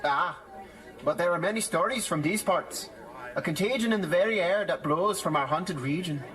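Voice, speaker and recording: A man's voice: sits high, normal speed, a bit bright, a faint crowd. A man playing an earnest village storyteller in a theatrical audio drama, with grave, old-fashioned diction. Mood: mysterious.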